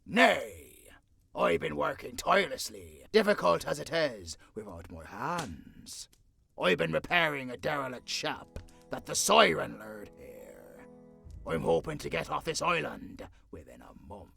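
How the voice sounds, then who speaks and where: Gravelly, swaggering gentleman pirate. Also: medium pitch, big pitch swings, a little slow, soft background music, exaggerated voice. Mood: vengeful. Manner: theatrical, swaggering, archaic pirate talk. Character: blustering English gentleman pirate with hooks for hands. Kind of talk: cinematic audio roleplay acting.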